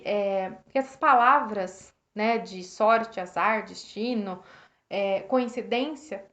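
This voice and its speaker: A Brazilian woman with a slightly hoarse voice, reflecting casually on a book for her live viewers, with many restarts, thanking people by name. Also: fairly high pitch, a bit bright. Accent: Brazilian Portuguese. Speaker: host reading and reflecting on a self-help book. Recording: roomy, dull lo-fi live stream.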